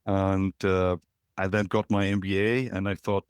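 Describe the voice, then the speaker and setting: A middle-aged man from Germany with a German accent, a podcast guest calling in remotely and telling his story in a reflective, halting way with many fillers. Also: low voice, level tone, clean tone, dry recording, clean studio sound. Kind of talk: casual interview, storytelling. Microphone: remote call.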